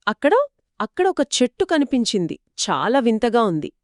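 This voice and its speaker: A woman narrating a moral folktale in an expressive, lively storytelling manner. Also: on the high side, normal speed, no room sound, clean studio sound. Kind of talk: scripted two-voice folktale narration.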